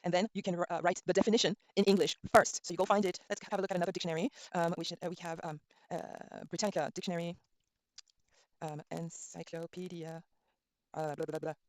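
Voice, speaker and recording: A woman's voice: lowish voice, level tone, quick pace, darkish tone, no echo. Chatty woman teaching a live online lesson. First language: Norwegian. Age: middle-aged. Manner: chatty, spells words letter by letter, frequent ums. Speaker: language teacher streaming a class. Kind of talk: casual online lesson, thinking aloud while browsing.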